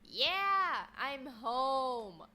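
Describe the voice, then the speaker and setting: A woman's voice: high-pitched, sing-song, very slow, sharp tone, heavily acted. A woman playing an irritable teenage girl in an audio drama, curt and dismissive. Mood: sullen. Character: sullen, irritable teenage girl snapping at family.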